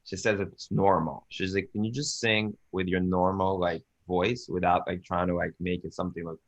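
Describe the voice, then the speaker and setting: A man's voice: deep voice, little pitch movement, moderate pace, dry recording, lo-fi sound. A man who sings as a hobby, chatting in a laid-back, joking way in a group conversation. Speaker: amateur singer. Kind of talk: casual group chat.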